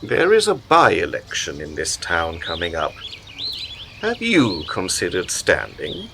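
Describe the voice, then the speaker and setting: Over noticeable background noise, an actor plays a persuasive male patron for a radio drama. His low voice rises and falls with great expression as he coaxes and philosophises in measured, rhetorical phrases. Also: wildly swooping pitch, gravelly, sharp tone, heavily acted. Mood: coaxing.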